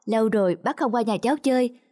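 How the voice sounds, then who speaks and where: Woman voicing a high-pitched, polite young man. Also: fast, warm tone, no echo, clean studio sound, close to an everyday voice. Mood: friendly. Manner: polite, deferential. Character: polite, respectful young man visiting an older uncle. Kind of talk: cartoon dubbing. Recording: music behind the voice.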